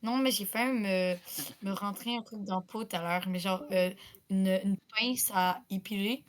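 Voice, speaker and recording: A woman with a Québécois accent chatting casually with friends in a voice chat, pausing often with fillers. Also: mid-range voice. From Quebec. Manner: hesitant, lots of fillers. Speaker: gamer in group voice chat.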